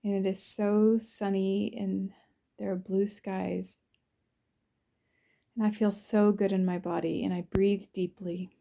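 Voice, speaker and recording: A woman narrates a guided visualization in a soothing, unhurried way, in short phrases with pauses between them. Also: medium pitch, little pitch movement, vocal fry, dark tone. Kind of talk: guided visualization, first-person present-tense narration.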